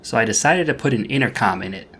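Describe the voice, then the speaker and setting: A man's voice: on the low side, animated, fast talker, a bit bright, slightly rough. A man narrating a DIY project in a casual, explanatory voice-over with a dry, understated manner.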